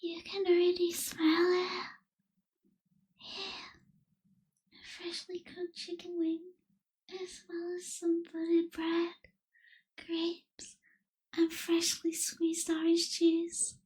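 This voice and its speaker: A gentle young female vampire, acted by a woman for an ASMR roleplay, with an extremely high, girlish voice that stays soft and breathy. She speaks very slowly and tenderly, slipping toward a whisper, with little rise or fall in her tone. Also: completely flat delivery. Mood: grateful, gentle. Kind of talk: ASMR roleplay, intimate scripted acting.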